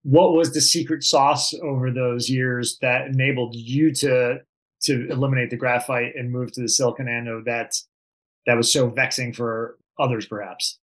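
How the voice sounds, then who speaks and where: A man's voice: mid-range voice, steady tone, moderate pace. A man interviewing a guest, asking thoughtful, probing questions.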